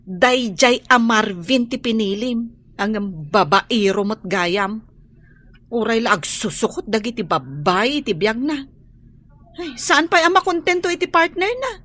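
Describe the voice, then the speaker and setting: A woman's voice: a little high, animated, measured pace, a bit bright. A woman playing an outspoken, candid character in a radio drama, with a Filipino accent. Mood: indignant. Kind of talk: Ilocano radio drama acting.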